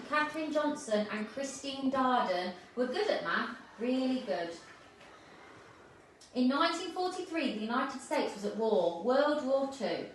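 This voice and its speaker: In a strongly echoing room, away from the mic, a woman reads a children's story aloud. Her voice sits fairly high, with faint noise in the recording. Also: recorded from a distance. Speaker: school staff reader.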